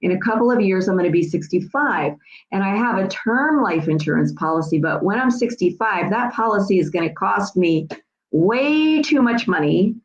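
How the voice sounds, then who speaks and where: A woman's voice: medium pitch, animated, moderate pace. A woman in her sixties hosting a casual explanatory talk, chatty and repetitive, often checking in with her listeners. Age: 60s. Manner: chatty, repeats herself, checks in with "all right?" and "okay?". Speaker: host. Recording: echoey room, dull lo-fi sound.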